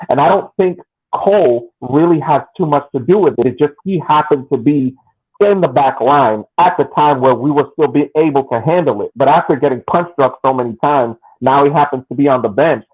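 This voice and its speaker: A man's voice: mid-range voice, fairly quick, slightly rough. Man on a tinny phone-like line. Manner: long analytical explanations with frequent restarts. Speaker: podcast co-host. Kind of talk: casual sports chat.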